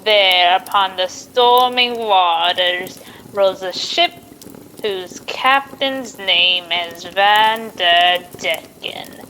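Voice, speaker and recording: A woman's voice: medium pitch, bright, forceful tone, slight background noise, low-quality recording. A woman playing an ancient, gleeful witch who tells eerie tales in old radio horror style, drawing out her words. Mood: eerie, ominous. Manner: slow, drawn-out spooky storytelling with cackles.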